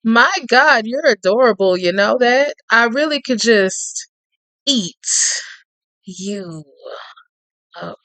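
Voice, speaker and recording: A woman voicing a confident, flirtatious Cajun man from the South, with a husky drawl and a quietly threatening edge. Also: fairly high pitch, expressive, slow, pauses often, dry recording, full character voice.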